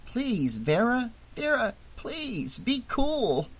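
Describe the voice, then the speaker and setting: Casting: male reader as anxious meek guy, pitched up, hesitant and pleading, audiobook drama. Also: very animated, normal speed, pushed and bright, full character voice. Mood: nervous pleading. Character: timid, nervous man out of his depth.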